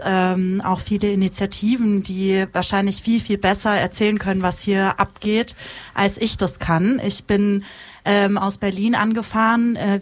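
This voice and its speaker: A woman activist speaking informally as she introduces herself at a live panel. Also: mid-range voice. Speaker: activist from an anti-racism initiative.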